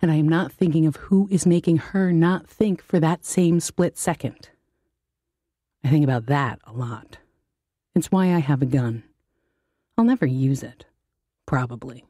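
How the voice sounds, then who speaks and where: A woman reading an audiobook monologue as a bitter, heartbroken, blunt first-person narrator with a husky voice. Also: deep voice, animated, a little slow, pauses often, mellow, no room sound, studio quality, full character voice. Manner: blunt, confessional, brooding. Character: bitter, heartbroken, foul-mouthed narrator, self-loathing and defiant.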